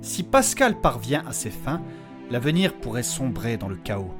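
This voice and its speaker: A man's voice: medium pitch, sing-song, normal speed. A man narrating a fantasy audio drama recap in a portentous, theatrical style. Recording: loud music under the voice. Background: guitar music.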